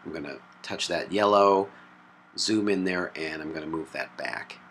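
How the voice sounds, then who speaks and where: A man with a low voice talks through a software tutorial one step at a time. His delivery is halting and explanatory. It is recorded on a laptop mic with clear background noise. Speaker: tutorial presenter. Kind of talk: hands-on software tutorial narration. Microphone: built-in laptop microphone. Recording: noisy laptop mic sound.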